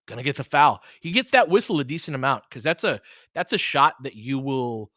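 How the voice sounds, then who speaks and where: Man, mid-pitched, very animated intonation, informal basketball commentary, conversational, opinionated, dry sound. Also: a little fast, no echo. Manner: conversational, opinionated, mildly profane. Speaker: sports commentator, host. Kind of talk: informal fan play-by-play and analysis commentary.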